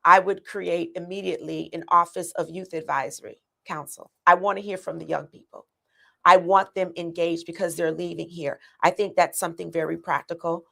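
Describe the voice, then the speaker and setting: A woman's voice: on the low side, fairly even intonation, normal speed, gravelly, bright, forceful tone. A woman running for county commissioner, earnestly laying out her plans with many ums and restarts. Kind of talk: answering interview questions.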